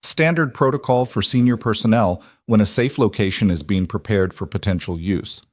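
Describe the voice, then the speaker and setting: A man voicing a brisk, matter-of-fact security staffer in an audiobook, factual and clipped. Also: on the low side, darkish tone, no room sound, studio quality, lightly acted. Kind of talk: audiobook character dialogue.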